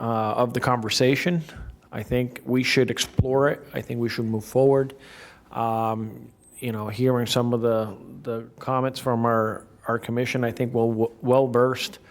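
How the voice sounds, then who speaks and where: A man in an ordinary middle register addresses a county board from the dais. He talks haltingly, doubling words and pausing on fillers as he builds his case. His voice comes through a desk microphone in the commission chamber. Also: medium pitch, vocal fry. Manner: halting, frequent restarts and fillers. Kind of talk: formal public meeting remarks.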